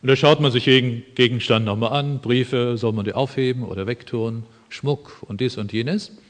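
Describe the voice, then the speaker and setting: A man's voice: mid-range voice, steady tone, moderate pace. A man preaching a sermon in an earnest, emphatic way, with dry asides. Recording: long hall reverb.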